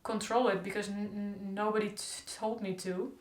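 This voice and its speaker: A woman's voice: mid-range voice, fairly even intonation, moderate pace. A young woman who stutters openly with repeated sounds, talking in a casual diary-style vlog. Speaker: stuttering vlogger. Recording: echoey room.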